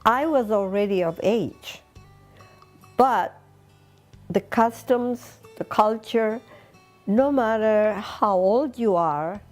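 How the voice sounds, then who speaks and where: A woman in her eighties from Peru, a Spanish speaker, reminiscing in an unhurried way with pauses between short phrases. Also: medium pitch, a little mellow. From Lima, Peru. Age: 80s. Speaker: interviewee recounting personal history. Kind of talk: reminiscing oral history interview.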